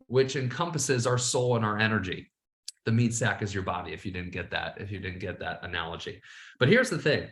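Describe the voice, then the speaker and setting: A man's voice: mid-range voice, fairly bright tone. A man runs his own motivational podcast, hammering points home with constant "all right"s. His voice sits mid-range and a bit sleepy. The room around him rings with noticeable echo. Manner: emphatic, repeats "all right" often. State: a little sleepy. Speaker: business coach and podcast host. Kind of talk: casual motivational monologue.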